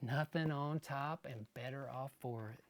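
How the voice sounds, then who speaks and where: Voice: medium pitch, measured pace, lightly acted. A storyteller voicing a hapless, eager little country boy who is forgetful and chants his phrases over and over. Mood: muddled chanting.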